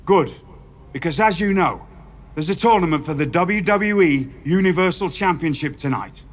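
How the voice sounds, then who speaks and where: An actor playing a stern older wrestling general manager, speaking in a measured, formal, lecturing way. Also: male voice, a little high, natural voice. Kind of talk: video game voice acting.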